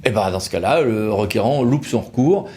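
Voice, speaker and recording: A man's voice: on the low side, animated, moderate pace, a little mellow. A man presenting as a legal expert, explaining in an informal, conversational way with wry, colloquial asides. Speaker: legal expert from a law firm presenting a video.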